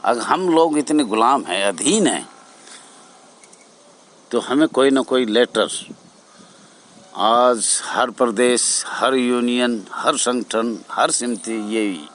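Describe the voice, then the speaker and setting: An older man giving an informal address as an association leader, exhorting his fellow members in halting, repetitive phrases. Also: medium pitch, fairly bright tone, a little hiss or hum. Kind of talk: informal spoken address to fellow home guards.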